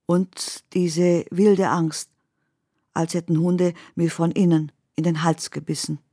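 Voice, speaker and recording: Poetic radio drama narrator, dreamlike, deliberate, unhurried, lower-pitched. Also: female voice, flat delivery, dark tone, no echo, clean studio sound. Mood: dreamlike, uneasy. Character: woman narrating surreal, dreamlike summer memories.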